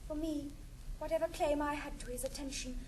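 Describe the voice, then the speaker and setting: A woman's voice: high-pitched, fairly even intonation, brisk, warm tone, slight background noise, close to an everyday voice, rough recording. An actress playing a demure, hurt young lady with a British accent in a 1960s classical stage comedy. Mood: hurt, reserved.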